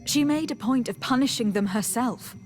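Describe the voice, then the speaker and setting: An actress playing a composed noble envoy and leader in a video game, speaking formally and with measured authority. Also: female voice, on the high side, soft background music, natural voice. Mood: formal, diplomatic. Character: noble female envoy, composed young leader giving orders. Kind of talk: scripted video game voice acting.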